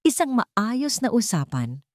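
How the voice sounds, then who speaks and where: A woman voicing a cautious, deferential veteran lawyer in a story, giving measured advice. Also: fairly high pitch, big pitch swings, no room sound, clean studio sound, voice pushed up. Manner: measured, advising.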